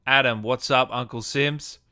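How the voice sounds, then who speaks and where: A man's voice: medium pitch, steady tone, measured pace, pushed and bright, clean tone. A man hosting a casual livestream interview, asking questions in an informal, chatty way. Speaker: host interviewer.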